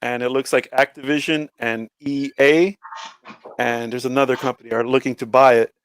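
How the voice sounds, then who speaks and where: A man's voice: medium pitch, level tone, normal speed, no room sound. A man co-hosting a podcast in casual banter, talking in a slangy way and swearing freely. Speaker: podcast co-host.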